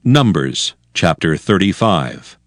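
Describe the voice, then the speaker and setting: A man narrating a dramatized scripture reading in a formal, deliberate, stately manner. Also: low-pitched, very animated, dry recording, studio quality, exaggerated voice. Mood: solemn. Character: solemn male Bible narrator.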